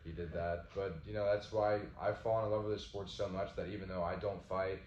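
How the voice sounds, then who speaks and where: A man's voice: low voice, flat delivery, fairly quick, darkish tone, a little hiss or hum. A man from Southwest Florida, a ring announcer and co-host, chatting loosely with lots of "like" and "you know". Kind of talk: casual chat. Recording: echoey room, slightly dull sound.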